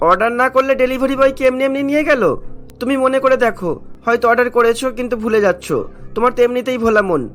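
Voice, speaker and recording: A man's voice: extremely high pitch, steady tone, very fast, a bit bright. A man playing a mildly reproachful young husband and son in a cartoon story, questioning and a little nagging. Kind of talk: dramatised cartoon story dialogue. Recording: voice over prominent background music.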